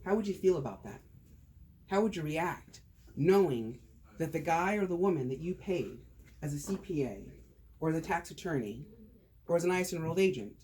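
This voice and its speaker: A man's voice: a little high, darkish tone, rough recording. A man talking casually to a small group, then presenting on taxes with jokes and rhetorical questions. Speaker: tax advisor giving a talk.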